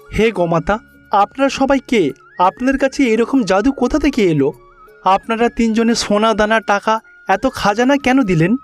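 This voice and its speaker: A man's voice: high voice, moderate pace, soft background music, close to an everyday voice. A man playing a kindly, earnest village headman in a cartoon, with an exclamatory way of talking. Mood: reverent wonder. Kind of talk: animated cartoon dialogue.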